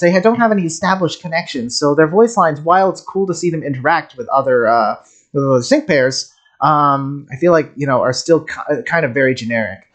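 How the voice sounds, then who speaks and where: Casual, rambling streamer commentating on a game. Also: mid-range voice.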